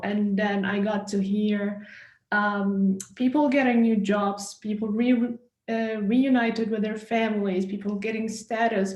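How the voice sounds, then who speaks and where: A woman's voice: mid-range voice, a little mellow. A woman from Egypt, a volunteer teacher and board member, talking earnestly at a tech meetup, correcting herself now and then. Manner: earnest, occasional self-corrections. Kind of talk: casual presentation at a tech meetup. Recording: echoey room.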